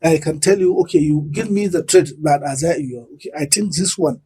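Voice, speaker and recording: A middle-aged man with a Nigerian accent and a husky voice, methodically explaining his points as an expert guest in a broadcast interview. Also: fairly high pitch, brisk, mellow.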